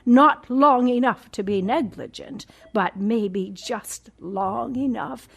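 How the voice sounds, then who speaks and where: An actress playing a genteel, condescending old woman recovering from a stroke in an audio drama, with breathy, halting, deliberate speech. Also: on the high side, heavily acted. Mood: quietly menacing.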